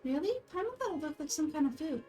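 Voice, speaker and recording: A woman voicing a small, excitable, childlike female game companion with a high, squeaky, whiny delivery. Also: fast talker, recorded from a distance, soft background music. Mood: curious. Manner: whiny, exclaiming, refers to self in third person.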